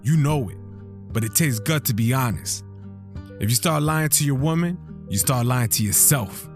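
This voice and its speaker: Proud, tender middle-aged dad played by a man, medicated yet earnest and deliberate, audio drama, guitar clearly behind. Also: medium pitch, animated, lightly acted. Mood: fatherly, sincere. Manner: earnest, deliberate advice-giving. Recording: guitar music under the voice.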